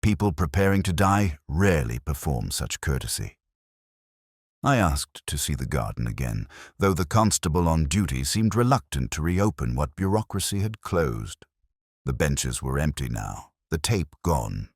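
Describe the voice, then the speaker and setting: Male actor as sceptical, brooding detective narrator for a radio mystery; very deep, reflective, literary, dry close sound. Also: very animated, dry recording, exaggerated voice. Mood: brooding, measured. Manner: measured, ruminative, literary turns of phrase. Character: melancholy, sceptical English male police inspector narrating his case. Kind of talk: first-person scripted audio drama narration.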